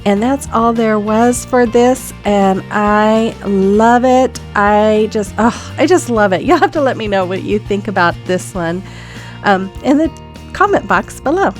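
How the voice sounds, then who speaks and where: A woman's voice: mid-range voice, lively intonation, unhurried, faint music behind. An older woman, a grandmother, narrating her craft project in a chatty, homey voice-over, a little sniffly from a cold. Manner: chatty, homey, many "um"s and small laughs. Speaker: craft vlogger.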